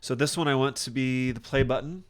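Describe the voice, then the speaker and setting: A man teaching software in a casual screen-share tutorial, thinking aloud informally as he demonstrates each step. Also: mid-range voice, fairly quick. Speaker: software instructor.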